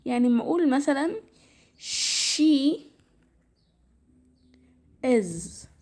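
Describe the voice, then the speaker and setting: A woman's voice: high voice, a little mellow. A woman with an Egyptian Arabic accent, teaching English grammar in a casual, step-by-step way, her voice a little tired. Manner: explains step by step, repeats "تمام". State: tired, slightly strained voice.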